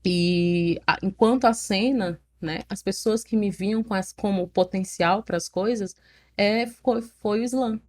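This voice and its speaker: A young woman with a Northeastern Brazilian accent, telling her story in a casual interview in an animated, frank way, laughing at herself. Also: medium pitch, slow. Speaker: poet, interview guest.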